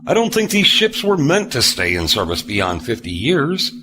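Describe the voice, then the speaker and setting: An actor playing a retired starship captain in an audio drama, wry, understated and measured. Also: male voice, medium pitch, huge pitch swings, background noise. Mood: probing.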